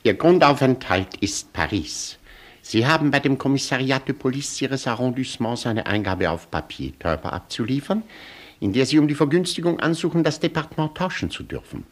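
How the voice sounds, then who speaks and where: An actor in a radio play playing an officious, pedantic French police sergeant who talks in stilted legal phrases. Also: male voice, medium pitch, expressive, brisk, natural voice.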